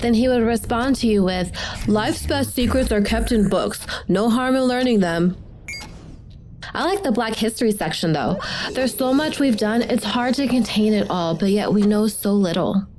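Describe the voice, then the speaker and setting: A woman playing a bookish, sarcastic teenage schoolgirl in an audio drama, with slangy, expressive delivery. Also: on the high side, moderate pace, natural voice. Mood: thoughtful chatty.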